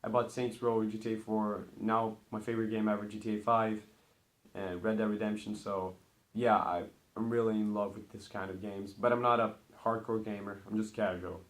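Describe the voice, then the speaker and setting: A teenage boy from Brazil, a Portuguese native speaker, talking about himself in a chatty, rambling vlog with bursts of excitement at each numbered item. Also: fairly low pitch, moderate pace. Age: teens. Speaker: vlogger. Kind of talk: casual talk to camera.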